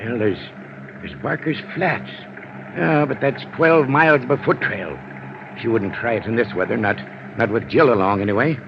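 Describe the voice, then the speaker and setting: A man's voice: medium pitch, normal speed, noisy background, lightly acted. An actor playing a worried, agitated small-town father searching for his daughter in a 1950s radio drama, hesitant as he talks. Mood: anxious, thinking aloud. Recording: muffled old radio recording.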